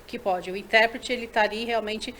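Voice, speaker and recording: A woman's voice: on the high side, steady tone, brisk, fairly bright tone, a little hiss or hum. A woman hosting a TV talk show, asking an interpreter curious and enthusiastic questions. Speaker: TV show host. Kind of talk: interview questions, casual chat.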